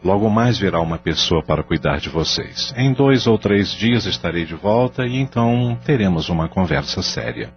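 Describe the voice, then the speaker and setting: Brief: a weary father, very deep voice, calm and firm, dull lo-fi audio drama sound with faint music. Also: normal speed, few pauses. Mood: reassuring. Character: troubled father, middle-aged, tired and serious.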